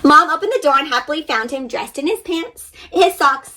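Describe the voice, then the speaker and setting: A woman reading a storybook aloud to young children with animated, wide-eyed expression, guiding them directly as she goes. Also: high-pitched, moderate pace. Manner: addresses young listeners directly with questions and sound effects. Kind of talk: interactive storybook reading and paper-folding instruction.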